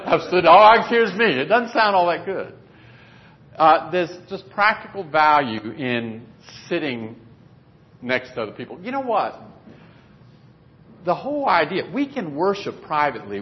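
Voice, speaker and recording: A man's voice: mid-range voice, a little hiss or hum. Plainspoken man preaching, dull recording. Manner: conversational, plainspoken. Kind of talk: sermon.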